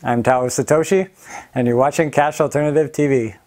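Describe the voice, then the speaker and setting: A man hosting an interview show, relaxed and pausing often with fillers. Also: medium pitch. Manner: relaxed, with frequent "uh" pauses. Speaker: host.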